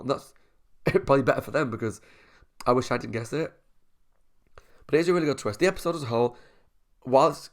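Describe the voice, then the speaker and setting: A man talks straight to camera in a relaxed review monologue. His voice has a gravelly edge at a medium pitch. He chats and thinks aloud as he weighs up each point. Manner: chatty, thinks aloud while weighing opinions. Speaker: YouTube reviewer.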